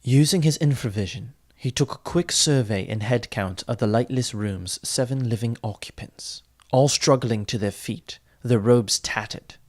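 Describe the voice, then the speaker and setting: A man's voice: medium pitch, normal speed, hardly any pauses. A man reading a fantasy audiobook as narrator, in a dramatic, theatrical storytelling style.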